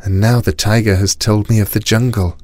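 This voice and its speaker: A man voicing a soft, higher-pitched little princess. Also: steady tone, fairly quick, dry recording, lightly acted. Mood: calm resolve. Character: quiet little princess girl, wild, calmly resolute. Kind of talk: storybook character voice.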